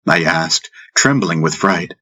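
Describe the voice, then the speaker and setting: Guy reading a fantasy audiobook, really low raspy voice, takes his time w dramatic pauses. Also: animated, pushed and bright. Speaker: audiobook narrator. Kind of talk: first-person fantasy audiobook narration. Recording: music bed under the voice. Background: background music.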